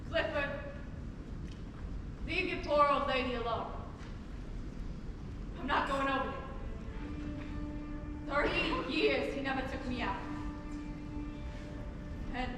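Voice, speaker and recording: A woman's voice: high voice, slow, pauses often. A teenage student actress playing a weary, stubborn, bitter older mother in a stage drama. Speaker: student actor. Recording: echoey auditorium recorded from far off. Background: music.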